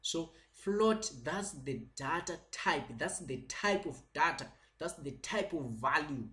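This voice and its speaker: A man giving a slow, patient recorded lecture over slides, repeating points and using many fillers. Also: on the high side, expressive, fairly bright tone.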